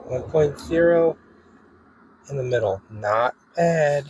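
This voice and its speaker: A man's voice: fairly high pitch, expressive, slow pace. A man giving a casual, hands-on demonstration as a hobbyist video host, with informal, folksy wording. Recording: clear background noise, ambient sound.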